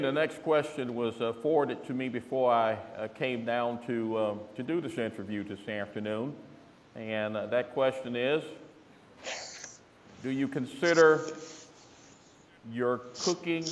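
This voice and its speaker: A man moderating a live audience Q&A, formal but unscripted, with a deliberate, halting, wordy delivery. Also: mid-range voice. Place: large hall with an audience. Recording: echoey hall with faint background noise.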